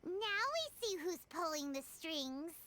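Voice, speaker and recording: A woman voicing a tiny, excitable female fairy companion in a game, with blunt, quick reactions. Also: very high-pitched, a little hiss or hum, heavily acted. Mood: teasing. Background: game soundtrack music.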